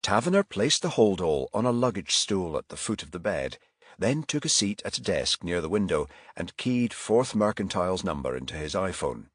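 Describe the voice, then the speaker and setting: A man's voice: sits low, very animated, normal speed, dry recording, clean studio sound. A man reading a crime novel aloud as an audiobook narrator, in a scripted storytelling style.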